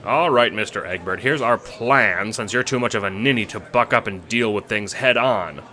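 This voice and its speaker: A man's voice: on the low side, moderate pace, bright, forceful tone, pitched above the performer's own voice. A man voicing a brash old male adventurer in an audio drama, grumbling and ordering people about in old-fashioned blustery talk. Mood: commanding. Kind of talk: audio drama character acting.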